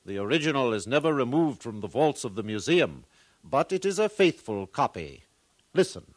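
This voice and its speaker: An actor playing a learned, proud museum curator with formal, professorial speech in a 1950s radio drama. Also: male voice, mid-range voice, sing-song, normal speed. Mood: scholarly, pleased.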